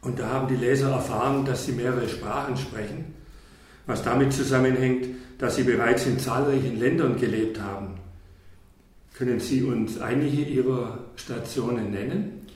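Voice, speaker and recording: Man asking calm formal interview questions, sounds far off in a big echoey room. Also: mid-range voice, normal speed. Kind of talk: radio interview.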